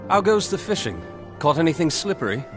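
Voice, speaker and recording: An actor playing a wry, easygoing adventurer in a fantasy game, with a dryly witty manner. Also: male voice, fairly high pitch, sing-song, a little fast, music in the background. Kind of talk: dramatic fantasy game dialogue.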